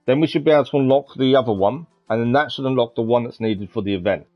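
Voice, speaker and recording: Casual male streamer, mid-pitched, musing his way through gameplay on a dull microphone. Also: fast talker. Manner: musing aloud, hesitant. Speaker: game live streamer. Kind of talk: casual live gameplay commentary. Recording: dull lo-fi mic with game music. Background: game music.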